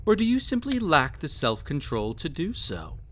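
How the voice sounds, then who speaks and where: A man's voice: fairly high pitch, wildly swooping pitch, normal speed, a bit bright, a little hiss or hum. A man playing a cruel, possessive, vain emperor toying with a captive in an intimate audio roleplay, with haughty, teasing, theatrical phrasing. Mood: menacing, teasing.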